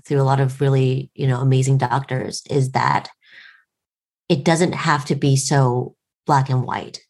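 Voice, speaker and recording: Low-voiced wry American woman. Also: steady tone, unhurried, a little mellow. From United States. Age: late 30s. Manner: wry, storytelling, self-deprecating. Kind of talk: casual conversation.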